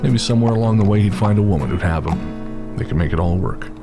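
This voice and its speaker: A man's voice: low-pitched, fast talker, lightly acted. A man giving scripted narration as the storyteller of a western horror audio drama. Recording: music bed behind the voice.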